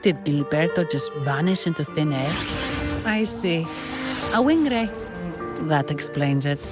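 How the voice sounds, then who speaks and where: A woman's voice: sits low, lively intonation, measured pace. An actress playing a composed, weary female guide in a video game, speaking in a matter-of-fact, understated way. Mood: matter-of-fact, thoughtful. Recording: loud music behind the voice.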